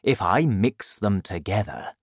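A woman voicing a gentle, gloomy male giant in a children's story, talking in a slow, sad, matter-of-fact way. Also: a bass voice, expressive, breathy edge, no room sound, clean studio sound, voice pushed down, full character voice. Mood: explaining.

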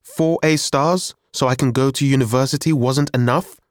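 A man's voice: normal speed, a little mellow, no echo, close to an everyday voice. A man voicing a resentful, hot-tempered seventeen-year-old boy, defensive and emotional in an audiobook story. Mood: angry, defensive. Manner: defensive, emotional, raised high voice when upset.

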